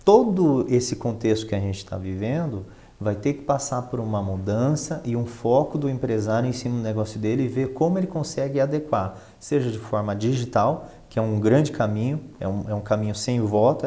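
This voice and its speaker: A man's voice: fairly low pitch, a little fast, few pauses, dark tone. A Brazilian man speaking conversationally as an expert guest, explaining things with emphatic repetition and rhetorical questions. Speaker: interview guest, finance professional and professor.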